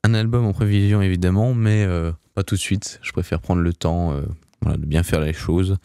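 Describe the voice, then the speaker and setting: A man's voice: low-pitched, steady tone, moderate pace, dark tone, smooth, dry recording, clean studio sound. A young man, a singer, answering in a casual radio interview, hesitant with many restarts and fillers. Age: young adult.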